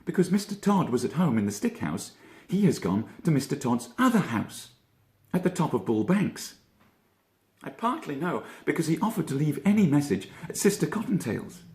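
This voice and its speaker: A self-important young storybook rabbit, voiced by a man, speaks in a raised, lilting pitch with echo around it. He is level-headed and wordy, reasoning things out. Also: very animated, normal speed, a little mellow. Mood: composed, reasoning. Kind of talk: children's storybook character voice.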